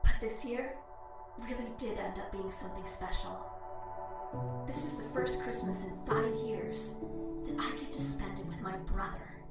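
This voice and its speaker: A woman's voice: mid-range voice, moderate pace, close to an everyday voice. A woman playing a young heiress giving a heartfelt, wry speech at a formal party in an audio drama. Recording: echoey hall PA, distant, faint music.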